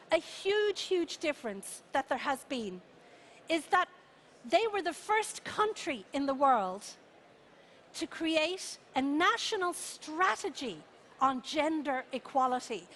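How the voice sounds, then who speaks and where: Female keynote speaker, Irish accent, high voice, emphatic and rallying delivery, noisy live conference recording. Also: fairly bright tone. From Ireland. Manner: emphatic, rallying, with rhetorical questions and deliberate repetition. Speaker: keynote speaker, founder and CEO. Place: conference venue, speaking to an audience.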